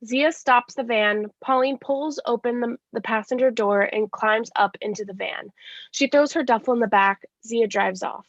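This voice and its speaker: A woman's voice: fairly high pitch, moderate pace, no echo. A woman reading scripted stage directions in a live staged reading of a play. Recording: dull lo-fi sound.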